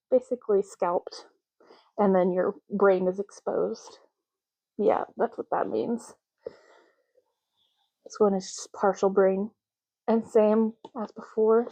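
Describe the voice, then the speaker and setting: A woman speaking softly in an ASMR roleplay, hushed, unhurried and gently explanatory, close to the microphone. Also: medium pitch, no room sound, poor audio. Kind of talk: soft-spoken ASMR roleplay.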